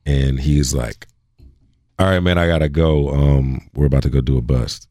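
A man's voice: extremely low pitch, fairly even intonation, moderate pace, dark tone, dry recording, clean studio sound. A man hosting a podcast, telling stories in a laid-back, joking way. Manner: laid-back, jokey storytelling. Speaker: podcast host. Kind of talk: casual conversation.

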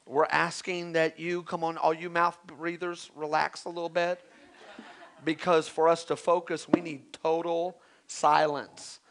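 A male pastor introducing a guest preacher, energetic and rallying the congregation. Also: a little high, unhurried, gravelly. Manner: energetic, hypes the crowd.

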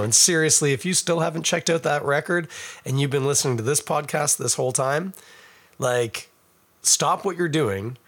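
A middle-aged Canadian man, hoarse and raspy from a cold, hosting a casual podcast and rambling in a self-mocking way to viewers. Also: mid-range voice, fairly bright tone. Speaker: podcast host. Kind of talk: casual live-stream chat.

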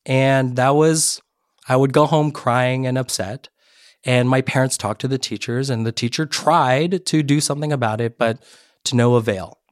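Man, middle-aged guest, earnest, storytelling, restarts sentences, medium pitch, dry close sound. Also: steady tone, moderate pace, dry recording. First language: Korean. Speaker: podcast guest, education advisor. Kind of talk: conversational interview.

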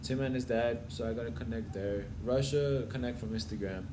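A young man from Los Angeles rattles through his boxing stories at high speed, loose and slangy and full of cursing. His voice sits in an ordinary middle pitch, and a steady noisy ambience runs under the recording. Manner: slangy, swearing, fast storytelling. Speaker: boxer. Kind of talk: casual interview talk.

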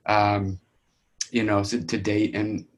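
A man's voice: on the low side, flat delivery, slow. A young man who is a playwright talks casually, hesitantly searching for his words. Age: young adult. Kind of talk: casual discussion.

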